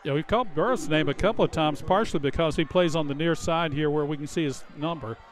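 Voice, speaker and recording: A man's voice: on the high side, quick pace. A man giving conversational color commentary during a live football game, outdoors at a stadium.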